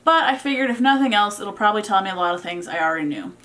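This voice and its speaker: A woman with an average-pitched voice talks casually to her viewers as a reviewer. Her delivery is informal and unscripted, and she works out her opinions aloud in a conversational way. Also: animated, quick pace, fairly bright tone. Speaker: book reviewer, vlog host.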